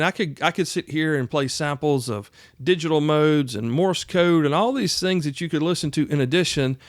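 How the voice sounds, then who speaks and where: A man's voice: fairly high pitch, dry recording, clean studio sound. A man hosting an instructional podcast in a plain-spoken, folksy, emphatic way, often checking in with the listener. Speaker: podcast host, radio instructor.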